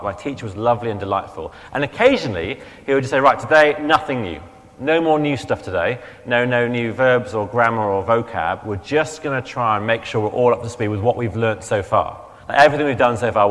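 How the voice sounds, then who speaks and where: A man, a vicar preaching a sermon in a relaxed, conversational way, his throat strained and failing, often correcting himself as he goes. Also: mid-range voice. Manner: conversational, chatty, self-correcting. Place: church hall. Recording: long hall reverb.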